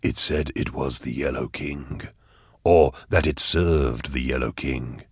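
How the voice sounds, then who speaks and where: Relentless male interrogator, put on by a male narrator: extremely low, slow and husky, terse audiobook menace under strain. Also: no room sound, clean studio sound, heavily acted. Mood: grim, insistent. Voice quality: husky, grunting. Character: grim, commanding male interrogator, straining with concentration. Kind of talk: dramatised audiobook dialogue.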